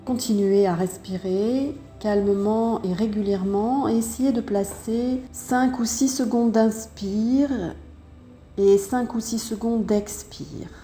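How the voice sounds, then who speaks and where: Mellow, slow-paced woman guiding yoga in a roomy space. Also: a little high, soft background music. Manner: calm, measured step-by-step guidance with pauses. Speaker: yoga teacher. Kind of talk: guided yoga and breathing instruction.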